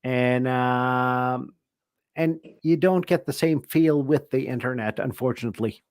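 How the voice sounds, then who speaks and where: A middle-aged Norwegian man hosting a relaxed radio-hobby live stream. He talks thoughtfully in a mid-range voice, often restarting his phrases. The sound is dry and close, with no room around it. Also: mid-range voice, a little slow, dry recording. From Norway. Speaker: ham radio show host. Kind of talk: casual live-stream chat.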